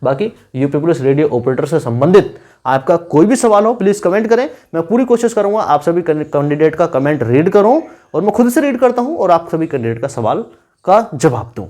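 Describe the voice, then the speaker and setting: A man with a fairly high-pitched voice talks as an online exam guide. He instructs candidates informally and repeats key points to drive them home. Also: very animated, a little fast, dark tone. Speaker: exam-guidance YouTuber advising police recruitment candidates. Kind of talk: informal instructional video talk.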